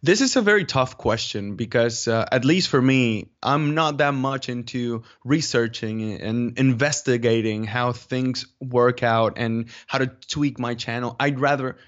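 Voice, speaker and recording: A man with a Spanish accent talks as an interview guest. He is measured and deliberate, often searching for the next word. His mid-pitched voice comes through slightly dull, lo-fi audio. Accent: Spanish-speaking. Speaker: interview guest, video creator. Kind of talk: conversational interview answers.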